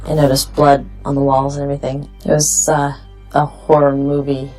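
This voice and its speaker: A woman's voice: low-pitched, monotone, moderate pace, dark tone, faint music behind, poor audio. A woman recounting personal events in a documentary interview. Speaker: crime survivor interviewee.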